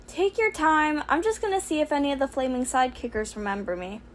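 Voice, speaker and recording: An eccentric teenage girl inventor, played by a woman narrator in a fan fiction audiobook. She sounds high-pitched, breezy and carefree. The home recording is noisy. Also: quick pace, lightly acted.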